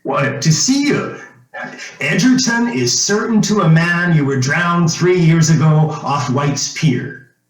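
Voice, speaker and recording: A man as high-voiced, wheedling small-town ex-police chief. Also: animated, slow pace. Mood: persuasive. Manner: hesitant, stammering, folksy, coaxing. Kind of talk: old-time radio drama acting. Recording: roomy echo, music underneath.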